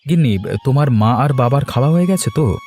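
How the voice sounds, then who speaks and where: A man's voice: mid-range voice, animated, fast talker, a little mellow, soft background music. A man voicing a husband and nephew in a Bengali cartoon, speaking in an earnest, plain way.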